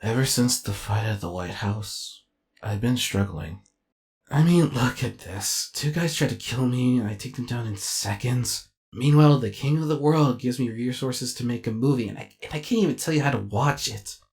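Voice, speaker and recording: A man voicing an excitable, insecure robot jet in an animated comic dub, dramatic and hesitant when unsure. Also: mid-range voice, normal speed, close to an everyday voice. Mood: dejected.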